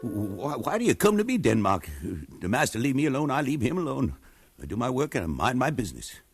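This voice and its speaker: A man's voice: mid-range voice, big pitch swings, fairly quick, slightly rough, no room sound, soft background music. An actor in a 1950s radio drama playing a cautious, uneasy slave foreman with guarded, hesitant, folksy speech. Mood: nervous, defensive. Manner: guarded, hesitant, folksy Southern-style grammar.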